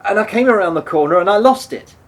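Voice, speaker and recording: An actor in a radio play playing a remorseful, defensive man who speaks hesitantly, stumbling and repeating himself. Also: fast talker. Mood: anguished. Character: remorseful, defensive man haunted by a fatal drunk-driving crash. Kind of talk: naturalistic radio play dialogue. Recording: steady background noise, low rumble.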